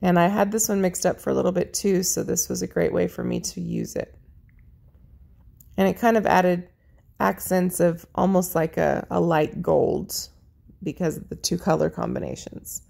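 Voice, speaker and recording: A woman narrating her paint pouring video in a chatty, friendly way, her voice a little raspy. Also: fairly low pitch, fairly even intonation, moderate pace, dark tone. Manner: chatty, friendly, lists colors as she works. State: slightly raspy voice. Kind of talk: casual how-to narration over craft footage.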